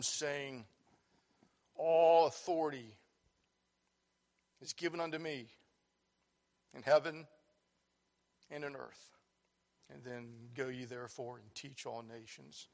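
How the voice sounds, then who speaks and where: A man preaching a sermon, reading scripture aloud and explaining it in an earnest, loud voice. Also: medium pitch, fairly even intonation, slow pace, long pauses. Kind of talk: sermon, Bible teaching.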